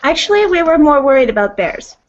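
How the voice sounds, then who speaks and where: A woman's voice: sits high, very animated, a little fast. Female tabletop player, raised register, tentative courteous delivery, lo-fi recording. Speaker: player in a tabletop roleplaying game. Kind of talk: casual in-character roleplay. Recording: dull, lo-fi audio.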